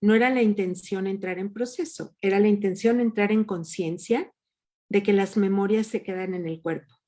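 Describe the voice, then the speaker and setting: A woman's voice: medium pitch, steady tone, normal speed, a little mellow. A woman leading an online workshop, patiently asking her group questions over a video call. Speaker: workshop leader.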